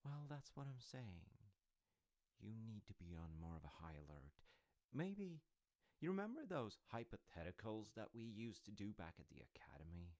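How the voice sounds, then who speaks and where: A man playing a smooth-talking, slyly treacherous secret agent in an intimate audio roleplay, casual and halting, teasing as he drops into near-whispers. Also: wildly swooping pitch, darkish tone, no echo. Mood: teasing, cautioning, then sly, coy. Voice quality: deep, creaky low voice. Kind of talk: intimate one-sided audio roleplay acting.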